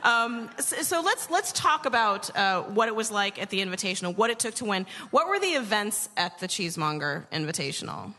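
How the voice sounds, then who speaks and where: A woman hosting a conversational live interview, asking questions in a tentative, halting way. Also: mid-range voice, sing-song, bright, forceful tone. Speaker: radio show host interviewing. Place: large hall, live radio show. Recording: reverberant live hall.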